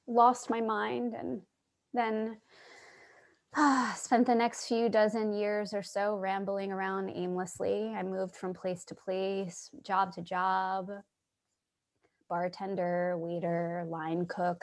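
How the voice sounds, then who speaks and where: Woman acting a slow, creaky-voiced troubled loner. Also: lowish voice. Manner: hesitant, halting, dramatic. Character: troubled, earnest loner woman Charlie, would-be writer. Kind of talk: staged play reading with monologue.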